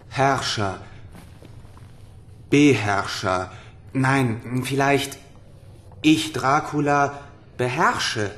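An actor playing a timid, earnest and curious young gentleman in a radio drama, with a formal, hesitant, musing manner. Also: medium pitch, heavily acted. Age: young adult.

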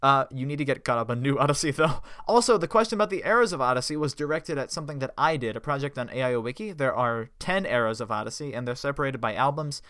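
Bright-voiced upbeat young man. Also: mid-range voice, no room sound, clean studio sound. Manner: chatty, upbeat, self-correcting. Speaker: fan news podcast host. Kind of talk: casual solo news podcast.

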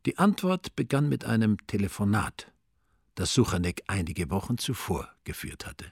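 Wry, gravelly storyteller. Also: male voice, on the low side, very animated, moderate pace, darkish tone, no room sound, heavily acted. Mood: wry, ironic. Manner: ironic, dry. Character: wry, sardonic male narrator of a comic crime story. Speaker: narrator. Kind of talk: scripted radio drama narration.

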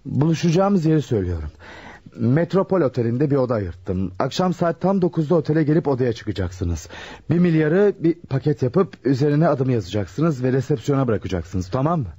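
Mellow, husky amateur sleuth. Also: male voice, medium pitch, big pitch swings, brisk. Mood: businesslike. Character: shrewd, self-assured man playing amateur detective. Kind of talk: Turkish radio drama acting. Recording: muffled lo-fi radio drama recording.

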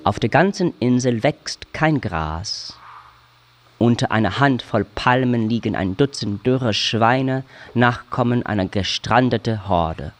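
A man giving a measured, scripted literary narration for a radio drama, telling the story in short declarative phrases. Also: mid-range voice, expressive. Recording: loud music under the voice. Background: loud music with brass sounds.

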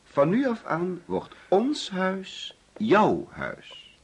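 An actor playing an overbearing, jovial middle-aged father in a Dutch radio play, theatrical and teasing, with deliberate pauses. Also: high voice, wildly swooping pitch, husky, full character voice. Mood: teasing, grandiose. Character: overbearing, jovial father hosting an uneasy birthday party.

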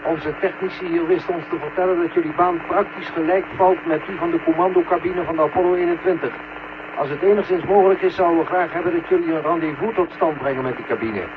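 A man playing a calm, matter-of-fact mission controller heard over a radio link in a vintage science fiction radio play. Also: fairly high pitch, quick pace, phone-quality sound. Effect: radio link filter. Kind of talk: 1970 Dutch science fiction radio drama acting. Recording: thin, noisy radio-transmission sound.

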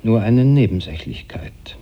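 An actor playing a cool, deferential secret police official who reports formally and discreetly in a German radio play. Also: male voice, fairly low pitch, expressive, a little slow, lo-fi sound. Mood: guarded, deferential.

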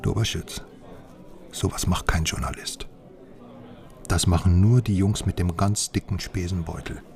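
An actor playing a wry, knowing journalist informant in a radio drama, confiding in clipped conversational phrases. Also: male voice, low voice, fairly even intonation, measured pace, creaky, darkish tone. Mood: conspiratorial warning.

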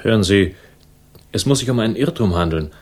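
A man playing a world-weary, sardonic investigator who speaks drily and deliberately in a 1960s radio crime drama. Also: on the low side, expressive, husky. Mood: wary. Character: world-weary, sardonic male investigator narrating his own story.

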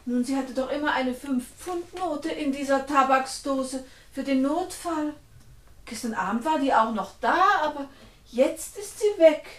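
Radio-play witness: fairly high voice, earnest, nervous, emphatic. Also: female voice, a little slow. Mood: helpful, puzzled. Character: anxious, earnest woman questioned over her aunt's death. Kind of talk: German radio play acting.

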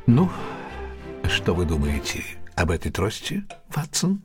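An actor playing a confident, ironic detective in an audio drama, husky-voiced, with a teasing, deliberate, theatrical manner. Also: male voice, low voice, huge pitch swings, over music, full character voice. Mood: amused, probing. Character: confident, amused, ironic male detective, keenly analytical. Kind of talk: Russian audio drama acting.

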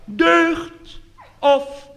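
A man putting on a high, booming preacher. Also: little pitch movement, vocal fry, pushed and bright, exaggerated voice. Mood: solemn preaching. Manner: declaiming from the pulpit, drawn-out echoing repeats. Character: preaching Dutch Protestant minister, solemn and booming. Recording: noisy old live stage recording.

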